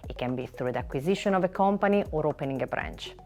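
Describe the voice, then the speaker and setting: A middle-aged woman from Italy with an Italian accent, a finance lawyer answering in a measured way, listing items with frequent pauses. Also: sits low, expressive, a little mellow. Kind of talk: panel interview answer.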